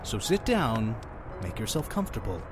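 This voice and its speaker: An actor playing a chatty, pushy innkeeper of a mysterious inn in an audio drama, with an effusive, theatrical manner. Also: male voice, lowish voice, sing-song, normal speed, noisy background. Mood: earnest, mysterious. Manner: effusive, talking over his guest.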